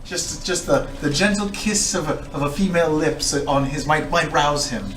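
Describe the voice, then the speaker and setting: A man's voice: a little high, fairly even intonation, moderate pace, fairly bright tone. A man playing a tabletop game, talking casually and in character. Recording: roomy, distant mic, music underneath.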